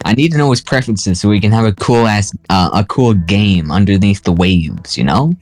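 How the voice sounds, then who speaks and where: A man's voice: lowish voice, animated, moderate pace, darkish tone. A man playing a tabletop game, joking in character as an old veteran. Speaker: player. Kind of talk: casual tabletop roleplay.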